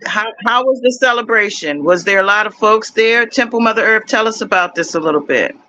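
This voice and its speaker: A man hosting a casual group discussion, gently halting as he moves the talk to a new topic. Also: high voice, rough recording. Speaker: host.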